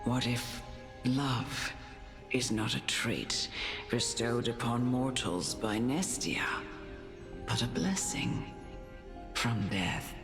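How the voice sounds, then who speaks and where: Deep, slow, serene noblewoman. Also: heavily acted. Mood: contemplative. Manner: measured, philosophical, formal phrasing. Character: serene, wise noblewoman, a gentle motherly mentor. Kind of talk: scripted fantasy game dialogue. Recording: long reverb, clear music behind.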